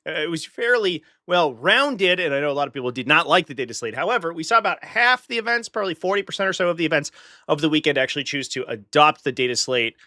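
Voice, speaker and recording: A man's voice: fairly high pitch, animated, fast, pushed and bright, no echo. A man with a stuffy nose from a flu, hosting a live stream in casual chat, talking straight to his viewers with dry sarcastic asides.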